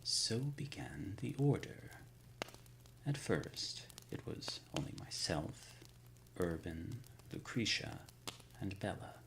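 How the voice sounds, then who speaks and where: An ancient undead lord of a secret order , played by a man, speaks very slowly in a low, creaking half-whisper. His diction is formal and old-fashioned, solemn and brooding, in the manner of an intimate ASMR roleplay. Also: big pitch swings, noisy background, exaggerated voice. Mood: solemn, proud. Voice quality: breathy near-whisper with gravelly creak.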